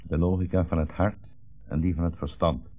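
An older actor playing an old blind rabbi in a 1960s radio drama, a dignified, gently defiant prisoner who speaks with measured politeness and quiet irony. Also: male voice, low voice, steady tone. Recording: tinny, band-limited old radio recording, faint music.

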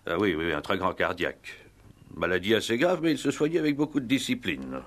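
Male proper country physician, vintage radio play; low register with sweeping, emphatic intonation. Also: big pitch swings, fairly quick, a bit bright. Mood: formal, obliging. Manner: precise, deferential, emphatic. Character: conscientious, formal male country doctor. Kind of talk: 1960s French radio drama acting.

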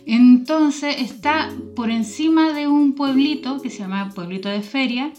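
Music plays under a woman from Chile with a fairly high voice. The sound is a little dull as she chats informally and explains as a guest. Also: a little slow, darkish tone. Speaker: podcast guest. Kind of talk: casual chat, recounting a visit. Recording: slightly dull, band-limited sound.

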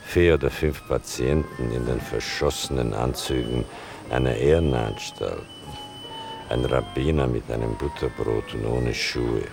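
A man playing a reflective son who narrates in a gravelly, measured, ironic way in a literary radio play. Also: very deep voice, music in the background, exaggerated voice. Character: reflective writer son recounting his mother's story.

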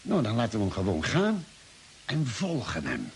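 A thoughtful male expedition doctor in an old radio play. He reasons things out deliberately, pausing mid-sentence, with a lively rise and fall in pitch. The recording carries a light hiss. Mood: pondering. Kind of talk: 1950s-style radio drama acting.

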